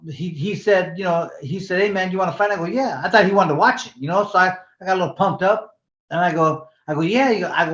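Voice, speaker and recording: A man's voice: fairly high pitch, fairly bright tone. Laid-back older man in an echoey room. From California. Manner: laid-back, rambling, heavy "you know" fillers. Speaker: guest, former professional boxer. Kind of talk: casual storytelling interview. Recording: echoey, dull lo-fi sound.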